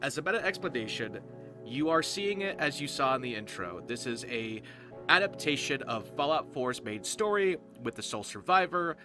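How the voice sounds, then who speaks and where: A man's voice: medium pitch, sing-song, normal speed. A man hosting a gameplay series with casual, rambling, informal commentary. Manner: informal, rambling, occasionally profane. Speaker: roleplay series creator and host, voice actor. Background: music.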